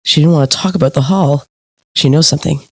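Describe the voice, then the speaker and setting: Earnest, hesitant woman journalist, lowish voice. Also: fast. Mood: puzzled, determined. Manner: hesitant, friendly, eager. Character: earnest, polite woman investigative journalist probing a haunting. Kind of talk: naturalistic audio play dialogue.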